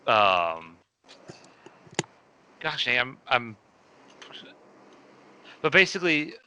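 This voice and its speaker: A man's voice: mid-range voice, sing-song, extremely slow, pushed and bright, a little hiss or hum. A man co-hosting a casual podcast about video game movies, rambling with many fillers and restarts. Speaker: podcast co-host. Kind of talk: casual chat.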